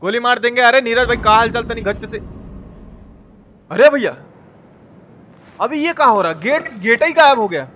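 High-pitched Bihari man streaming a game. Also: normal speed, long pauses, bright, forceful tone, dull audio. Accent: Bihari Hindi. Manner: colloquial, teasing, swears freely. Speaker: video game live streamer. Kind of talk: casual live gaming commentary with chat banter. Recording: clearly noisy, game sound behind.

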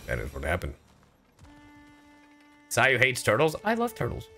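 A man chatting casually on a gaming livestream, animated and profane, swinging between loud outbursts and low asides. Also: measured pace, soft background music. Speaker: streamer and voice actor. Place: indoor livestream setup.

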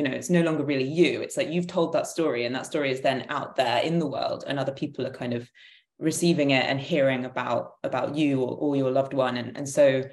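Woman, thirties, low voice, tentative, reflective, echoey room, video call. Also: a little mellow. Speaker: panelist. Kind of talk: reflective discussion. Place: room at home, indoors. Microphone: video call, device at desk distance.

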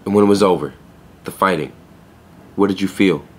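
A male actor as a young store clerk in an audio drama, with a low voice. He is skeptical and blunt, delivering everything in a dry, deadpan, sardonic way. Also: fairly quick, slight background noise, close to an everyday voice. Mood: firm, frank. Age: young adult. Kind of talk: naturalistic audio play acting.

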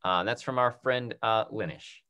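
A man around his thirties moderating a casual question-and-answer session on a video call, restarting phrases in a halting way. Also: on the low side, bright, forceful tone, smooth, muffled recording. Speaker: moderator of a panel discussion. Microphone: video call computer microphone.

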